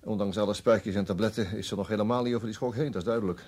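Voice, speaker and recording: An actor playing a sober, concerned police detective in a radio drama. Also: male voice, lowish voice, fairly even intonation, very fast, a little mellow, slightly rough. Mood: sombre.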